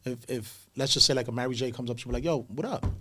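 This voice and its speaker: Casting: male interviewer, medium pitch, informal street-slang phrasing, meandering conversational questions. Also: rapid-fire, sharp tone, slightly rough.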